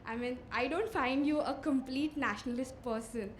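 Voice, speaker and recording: High-voiced rambling woman in an echoey room. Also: moderate pace. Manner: rambling, hesitant, giggly. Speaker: audience member asking a question. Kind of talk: spontaneous question. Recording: echoey room, faint background noise.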